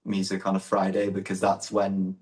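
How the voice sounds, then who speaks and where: A young Northern Irish man, a musician guest, talking in a halting way with many fillers and restarts. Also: low voice, monotone, roomy sound. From Northern Ireland. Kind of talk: casual interview.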